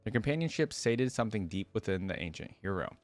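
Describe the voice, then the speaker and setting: A man's voice: fairly low pitch, very animated, fast, no echo. A man reading fantasy lore aloud as the host of a reaction channel, with casual, thoughtful commentary.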